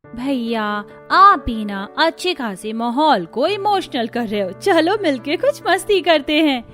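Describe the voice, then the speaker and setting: Caring young sister female voice actor, earnest cartoon family drama, higher-pitched coaxing voice. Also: big pitch swings, unhurried, a bit bright, soft background music, full character voice. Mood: playful.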